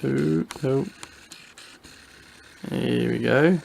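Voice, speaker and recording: British male hobby reviewer, mid-pitched, informal and unscripted, hesitant, thinking aloud as he demonstrates. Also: extremely slow, vocal fry, a little mellow, a little hiss or hum. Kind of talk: casual unscripted product review, talking through a demonstration.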